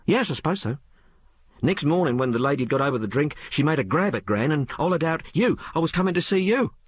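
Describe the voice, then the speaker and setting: A man voicing a rough, frank working-class young woman in an audiobook, blurting out her tale in colloquial, ungrammatical speech. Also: fairly high pitch, lively intonation, brisk, no room sound, clean studio sound, voice pushed up. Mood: frank, gossipy. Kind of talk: audiobook character dialogue.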